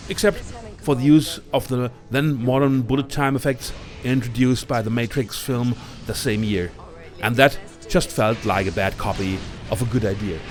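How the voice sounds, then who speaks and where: A man's voice: mid-range voice, normal speed, soft background music. A man hosting a countdown video, reading a scripted film review as narrator. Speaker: video list host and narrator.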